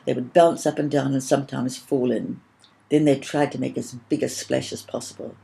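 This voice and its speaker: A woman's voice: a bass voice, fairly even intonation, moderate pace, vocal fry, mellow, exaggerated voice. A woman voicing a firm, imaginative girl who tells a children's story patiently and dramatically. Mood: fond.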